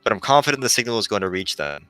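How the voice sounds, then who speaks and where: A man voicing a gentle, melancholy female shuttle AI, earnestly explaining as it fades in a visual novel. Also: fairly low pitch, very animated, racing, dry recording, faint music behind.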